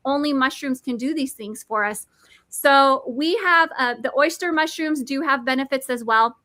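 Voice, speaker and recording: A woman's voice: high-pitched, moderate pace, bright, forceful tone, no room sound, studio quality. A woman in her forties enthusiastically explaining mushroom supplements, eager and nerdy, often restarting words. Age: 40s. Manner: eager, nerdy, self-correcting. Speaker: product presenter.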